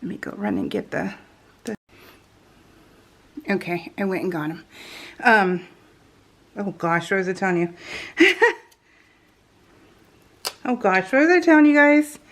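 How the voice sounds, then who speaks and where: A woman's voice: mid-range voice, expressive, unhurried, long pauses. Chatty, giggly woman rambling casually. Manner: giggly, chatty, self-interrupting. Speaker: craft vlogger. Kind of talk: casual rambling chat while crafting, voiced in real time.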